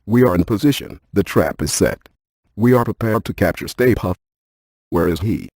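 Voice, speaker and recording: A man voicing a brainy, unflappable ghost-hunting scientist in a video game, with precise, clinical, deadpan delivery. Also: fairly low pitch, normal speed, dry recording. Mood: brisk, composed. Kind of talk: scripted game voice lines.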